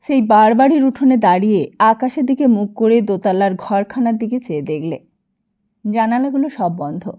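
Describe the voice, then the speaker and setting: A woman narrating a novel as an audiobook in an expressive, dramatic storytelling style. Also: a little high, normal speed, highs cut off.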